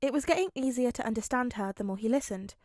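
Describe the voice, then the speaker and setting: A woman reads fiction aloud in an expressive narrating style. Her voice sits fairly high. The recording is close and dry. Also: quick pace, no room sound. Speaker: podfic narrator.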